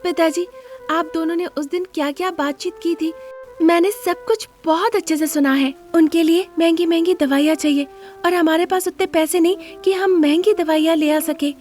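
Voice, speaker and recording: Self-sacrificing young daughter-in-law for a moral tale female reader acting, high-pitched, respectful, pleading, music underneath. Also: steady tone, normal speed, darkish tone. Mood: earnest. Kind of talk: moral story character voice.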